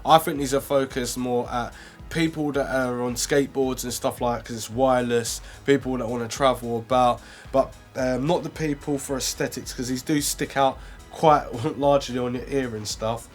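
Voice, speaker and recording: A young man reviewing earphones in a casual, rambling way, full of fillers and restarts. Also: medium pitch. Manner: rambling, self-correcting, lots of fillers. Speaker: product reviewer.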